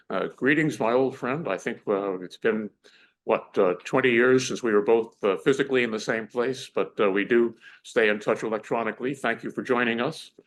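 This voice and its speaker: A man moderating an online panel, introducing the speakers in a friendly but halting way, with many "uh"s. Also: on the low side, a little hiss or hum, poor audio.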